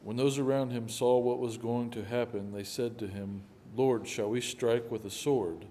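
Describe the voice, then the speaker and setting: A man reading a scripture passage aloud in a slow, even way. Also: mid-range voice. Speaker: scripture reader.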